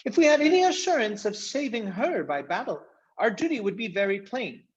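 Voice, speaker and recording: A man's voice: high-pitched, sing-song, fairly quick, dull audio, voice pushed up. A man voicing a brave, courtly mouse knight with formal, chivalrous speech, in a storybook reading. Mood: gallant, solemn.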